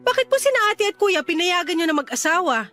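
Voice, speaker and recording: A woman's voice: very high-pitched, big pitch swings, a little fast, fairly bright tone, exaggerated voice. A woman acting a respectful but frustrated grown daughter in a Filipino radio drama, politely pleading and reasoning.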